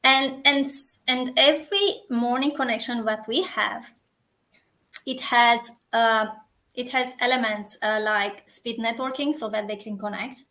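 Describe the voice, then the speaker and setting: A woman's voice: a little high, fairly even intonation, unhurried, sharp tone. A woman with a Romanian accent speaks in a slightly raised voice, talking earnestly about her community. She often restarts and searches for the right English word. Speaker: community founder, guest. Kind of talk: casual interview chat.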